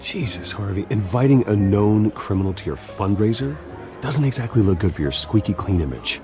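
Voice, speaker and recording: Composed wealthy heir, American, very low voice, creaky, calm, dry, game dialogue. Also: male voice, normal speed, music in the background. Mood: guarded, dry. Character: wealthy, composed, guarded man, loyal friend. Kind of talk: video game character acting.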